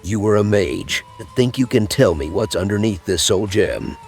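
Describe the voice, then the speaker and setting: A man's voice: fairly low pitch, lively intonation, moderate pace, creaky, faint music behind. A man roleplaying a haughty Thalmor elf agent in a fantasy game, with a curt, probing way of talking. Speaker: player narrating a roleplay playthrough.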